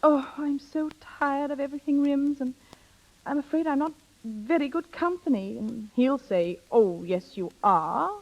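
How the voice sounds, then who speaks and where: Scheming older sister in an old 1930s radio drama, highish voice, brisk n knowing, kinda theatrical. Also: female voice, a little mellow, highs cut off. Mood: coaxing, scheming. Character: bossy, scheming, playful older sister coaching younger one. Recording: vintage 1930s radio broadcast.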